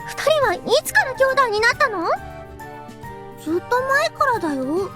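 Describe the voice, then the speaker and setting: A woman's voice: very high voice, very animated, fast. A woman voicing a cute, chatty teenage girl in a Japanese visual novel. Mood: flustered. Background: game music.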